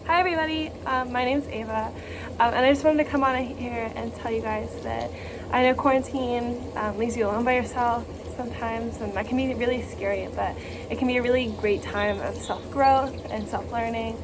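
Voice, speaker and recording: A woman's voice: fairly high pitch, fairly even intonation, normal speed, noisy background. A woman giving a casual, informal personal message, with youthful phrasing peppered with "like". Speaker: speaker sharing a personal story for an online worship service.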